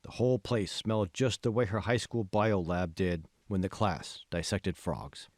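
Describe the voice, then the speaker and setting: A man reading scripted fiction as an audiobook narrator, in a measured, phrase-by-phrase way. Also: sits low, no room sound, studio quality. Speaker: author reading his own audiobook.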